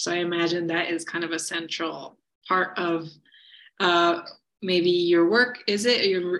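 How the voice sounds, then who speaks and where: A woman's voice: on the low side, fairly bright tone, poor audio. A woman hosting a podcast who asks her guest questions in a friendly, curious way, often stopping on hesitant fillers. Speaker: podcast host and interviewer. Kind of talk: scripted intro, then casual interview.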